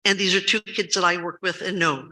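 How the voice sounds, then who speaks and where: A woman in her seventies giving an urgent public comment at a school board meeting. She tells a story emphatically, repeats key words for stress, and has a loud, carrying voice. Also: lowish voice, fairly even intonation, fairly quick. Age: 70s.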